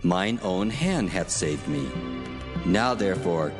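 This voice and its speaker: A commanding, almighty divine male voice speaks in a solemn, deliberate way in a scripture audio drama. It is low-pitched, wrapped in long reverb, with music underneath. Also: sing-song, full character voice.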